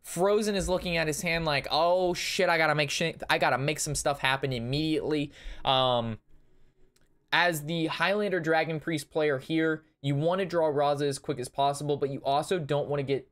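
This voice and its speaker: A young man casting and reviewing a card game match, excitable and analytical, his voice strained from talking a long time. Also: on the high side, moderate pace. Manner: excitable, analytical, talks through plays. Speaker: Hearthstone caster reviewing a match. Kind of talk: casual game commentary.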